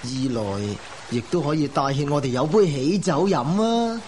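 An actor playing a jovial, teasing gentleman of old China, genial and coaxing, in a Cantonese radio drama. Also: a little high, expressive, normal speed, slight background noise, natural voice.

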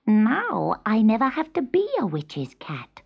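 A woman's voice: on the high side, huge pitch swings, slow, vocal fry, breathy edge, muffled recording, exaggerated voice. A woman voicing a sweet, innocent little boy kitten in a children's storybook, plaintive and childlike. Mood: hopeful. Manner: plaintive, wide-eyed, childlike.

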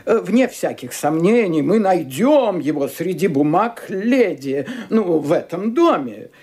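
A man's voice: high-pitched, sing-song, darkish tone, husky, full character voice. An actor playing a fussy, hesitant lawyer who stumbles over his words in a radio play. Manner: halting, full of "uh" hesitations. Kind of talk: Soviet radio play acting.

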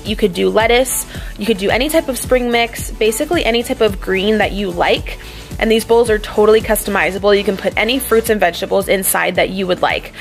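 A young woman narrates her cooking video in a loose, conversational way, dropping in little fillers as she goes. Her voice sits at an ordinary middle pitch. Faint music plays softly underneath. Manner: chatty, relaxed, with fillers like um and like. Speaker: vlogger. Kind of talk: casual cooking voice-over. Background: faint background music.

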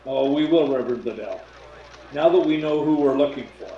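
A man's voice: medium pitch, fairly quick, lightly acted. A man playing a puzzled but dogged police inspector in a radio mystery play. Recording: dull, echoey, faintly noisy video call.

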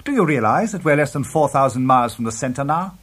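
An older professor on an expedition, acted by a male narrator, declaims with excitement and command. His pitch is raised and leaps about, and the voice has a husky grain. Every phrase lands as an emphatic storybook exclamation. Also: quick pace. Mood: elated.

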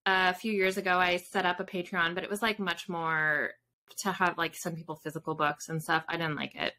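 A woman's voice: lowish voice, little pitch movement, a little fast, sharp tone. A woman in her thirties chatting casually as an interview guest on a video call. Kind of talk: casual chat. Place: indoors in a room with bookshelves, on a video call.

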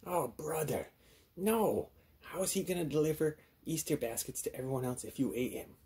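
A man voicing a friendly, childlike little monster puppet, excitable and easily flustered, exclaiming in repeated phrases. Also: on the high side, moderate pace, breathy edge, pitched above the performer's own voice, full character voice. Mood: dismayed. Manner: repetitive, wide-eyed exclaiming. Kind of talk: children's puppet show skit.

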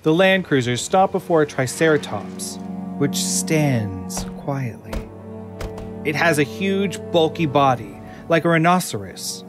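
A man's voice: on the high side, expressive, measured pace, music in the background. A man narrating an audio drama from a script, describing the action.

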